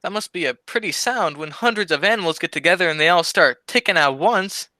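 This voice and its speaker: A man's voice: sits high, a little fast, pushed and bright, no echo. A man playing a silly, dim-witted wisecracking sidekick in a 1940s-style radio comedy. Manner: silly, cheeky wordplay.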